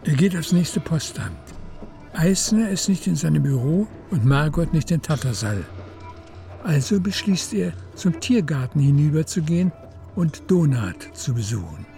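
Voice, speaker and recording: Mellow, gravelly male narrator, higher voice. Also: expressive, a little slow, soft background music. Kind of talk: scripted literary narration for a radio play.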